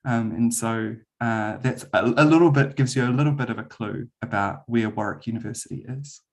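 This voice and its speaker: A man's voice: mid-range voice, a little fast, darkish tone. A man with a New Zealand accent giving a friendly university webinar presentation over a video call, with frequent "um" fillers. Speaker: university lecturer.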